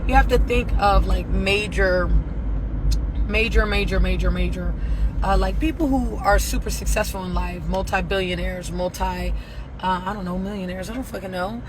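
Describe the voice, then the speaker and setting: A hoarse woman giving a casual, profane motivational message as a spiritual reader, often asking "right?". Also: mid-range voice, moderate pace. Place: at work, near traffic. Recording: clear traffic noise behind.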